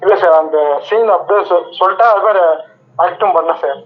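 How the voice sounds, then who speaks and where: A man's voice: sits high, fairly quick. A man, answering deferentially and eagerly as an aspiring actor over a muffled phone line. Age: 20s. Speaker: aspiring actor being prank called. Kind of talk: phone audition, casual answers.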